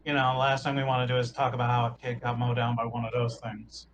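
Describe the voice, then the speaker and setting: A man's voice: mid-range voice, monotone, fast talker, noisy background. A man chatting casually as a player in a tabletop roleplaying game.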